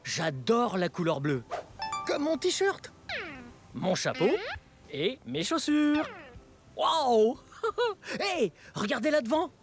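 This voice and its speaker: Excitable children's show host, hugely animated intonation, very unhurried pace, playful, exclamatory, simple teaching. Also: medium pitch. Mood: excited. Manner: exaggerated wonder, simple explanations, frequent giggles and gasps. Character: excitable, wide-eyed, cheerful children's show presenter, playful adult. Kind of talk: exclamatory educational presenting for young children. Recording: music behind the voice.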